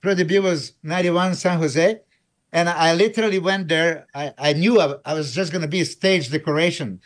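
A man's voice: on the high side, normal speed. A middle-aged man co-hosting a video-call chat, telling stories in an easygoing way.

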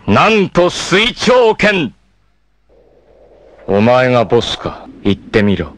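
A man's voice: medium pitch, big pitch swings, slow. A man playing a proud, vengeful martial-arts warrior in a 1980s anime, cool, ruthless and theatrical in delivery. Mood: fierce battle cry. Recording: noisy old anime clip compilation.

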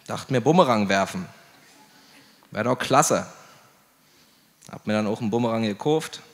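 A man in his twenties telling a comic story in clipped, deadpan phrases. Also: fairly low pitch, moderate pace. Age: 20s. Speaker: slam poet. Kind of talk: comic stand-up style storytelling. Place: live stage in an indoor event hall. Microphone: mic on stand. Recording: reverberant hall.

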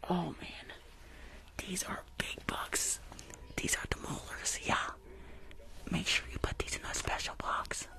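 A young woman playing a rushed, shady dentist in an ASMR roleplay, hurried and bossy, repeating herself in a breathy whisper. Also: close to an everyday voice. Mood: impatient, bossy. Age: young adult. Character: rushed, shady female dentist stealing patients' teeth. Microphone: close microphone with earphones.

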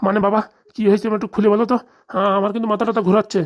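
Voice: medium pitch, little pitch movement, very fast, lightly acted. A performer voicing an anxious, aggrieved middle-aged Bengali father in a comedy cartoon, lamenting and rambling in a rural dialect. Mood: alarmed. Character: anxious, aggrieved father nearing retirement, fretting over lost money.

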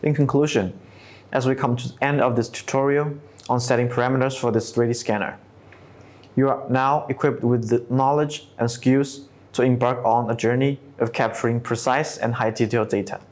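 A man in his thirties narrating a scripted software tutorial step by step, with non-native English phrasing. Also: mid-range voice, steady tone, normal speed. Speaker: tutorial presenter.

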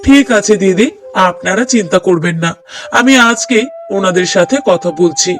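A man's voice: high-pitched, moderate pace, fairly bright tone. A man playing an obliging village matchmaker in a cartoon drama, talking in a reassuring, persuasive way.

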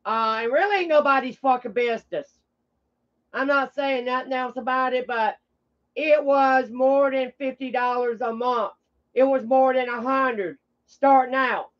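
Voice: sits high, fairly even intonation, measured pace, lo-fi sound. A streamer talking off the cuff to viewers in a blunt, combative, swearing manner. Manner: blunt, combative, foul-mouthed. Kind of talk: casual rambling livestream talk to viewers.

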